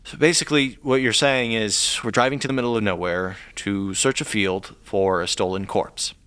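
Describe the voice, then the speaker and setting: An actor playing a nervous, affable sidekick in an audio drama, hesitant and rambling, with a wry edge. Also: male voice, lowish voice, steady tone, normal speed, fairly bright tone, clean tone, no room sound, natural voice. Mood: wry, incredulous.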